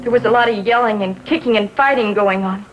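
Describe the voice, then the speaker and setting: An American woman playing a distraught, lovestruck sixteen-year-old girl in a 1950s TV drama, speaking in short, halting sentences. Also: medium pitch, lo-fi sound, natural voice. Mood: shaken recounting. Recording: faintly noisy vintage TV soundtrack.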